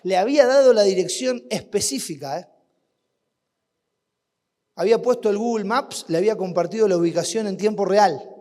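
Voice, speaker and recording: A man with an Argentine accent preaching a sermon at a youth camp, asking rhetorical questions and swinging between loud and hushed. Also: high-pitched, moderate pace, pauses often. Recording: long hall reverb.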